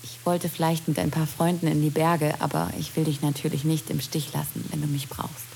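An actress playing a friendly, easygoing young babysitter in an intimate audio drama, speaking softly in a hushed voice. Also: female voice, low voice, little pitch movement, brisk, vocal fry, a little hiss or hum. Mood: casual, tentative.

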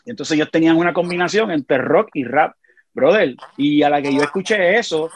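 A man's voice: fairly high pitch, a little fast. Puerto Rican man telling stories. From Puerto Rico. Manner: animated storyteller, frequent restarts. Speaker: guest. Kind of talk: casual conversation.

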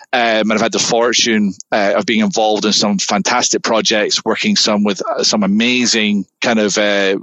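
Scottish man, middle-aged, guest in an interview; bright, energetic voice, dry close sound, restarts his phrases. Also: lowish voice, dry recording, muffled recording. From Scotland. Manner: energetic, repeats words when starting sentences. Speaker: guest engineering executive. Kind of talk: conversational interview.

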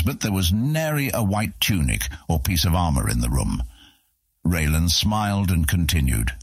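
A man narrating a fantasy audiobook as a scripted story, with a gravelly, husky voice. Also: low voice, no echo.

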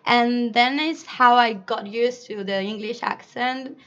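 A woman's voice: a little high, a little slow, fairly bright tone. A woman from Mallorca speaking English with a Spanish accent, chatting as a podcast guest and laughing often. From Mallorca, Spain. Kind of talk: casual chat. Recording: dull lo-fi podcast audio.

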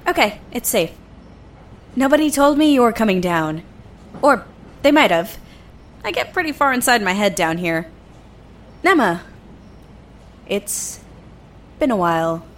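Absorbed researcher, high lively voice. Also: female voice, a little slow, long pauses, slight background noise. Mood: flustered, friendly. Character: absorbed, warm-hearted woman researcher in underground lab. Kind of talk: audio drama acting.

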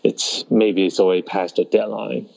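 Gravelly low man, halting answers. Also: fairly even intonation, warm tone, muffled recording. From Taiwan. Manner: halting, with many restarts and pauses. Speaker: festival organizer. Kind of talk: conversational interview answers.

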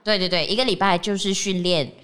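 A woman's voice: mid-range voice, steady tone, fairly quick, fairly bright tone, smooth. A woman with a Malaysian accent co-hosting a casual podcast chat. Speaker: podcast co-host.

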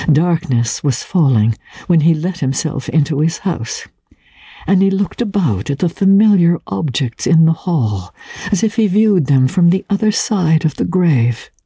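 A woman with a low, husky voice giving a dramatic narration of a classic novel as an audiobook reader. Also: warm tone, no room sound. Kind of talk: dramatic scripted literary narration.

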